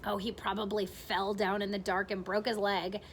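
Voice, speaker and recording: A woman's voice: medium pitch, level tone, normal speed, slight background noise, close to an everyday voice. A woman reading aloud and voicing a cruel, greedy old aunt with a harsh, scolding manner. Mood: spiteful.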